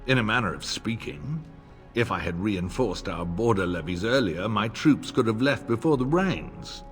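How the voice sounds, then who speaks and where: An actor playing a smug, middle-aged nobleman and war veteran in a video game, formal and sardonic, with a husky voice. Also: fairly low pitch, lively intonation, moderate pace, close to an everyday voice. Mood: smooth, condescending. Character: older nobleman, old war comrade, smug, wry, insincerely courteous. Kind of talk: video game cutscene acting. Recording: long reverb, music underneath.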